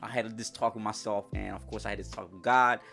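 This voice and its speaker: A man's voice: fairly low pitch, fairly even intonation, quick pace, vocal fry, pushed and bright, soft background music. A young man from Congo whose first language is French, talking casually and energetically straight to his viewers in a vlog, full of slang. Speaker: YouTuber. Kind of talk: casual motivational vlog talk.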